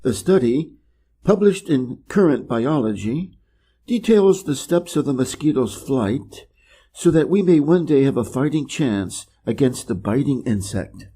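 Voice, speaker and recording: A man from Wisconsin with an American Midwest accent, reading an article slowly and carefully for English learners. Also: medium pitch, animated, mellow. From Wisconsin, USA. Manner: measured and deliberate, clearly pronounced. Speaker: reader of an English learning channel. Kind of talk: slow, careful reading aloud.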